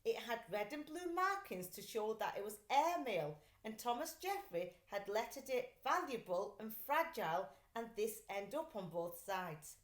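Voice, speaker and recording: Woman, children's storyteller, deliberate phrasing, lively intonation, echoey room. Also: a little high. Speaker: teacher reading aloud. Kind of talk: expressive children's story reading.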